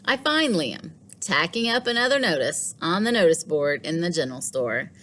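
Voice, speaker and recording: A woman teacher reading a storybook aloud to children in an expressive way, with deliberate dramatic pauses. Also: on the low side.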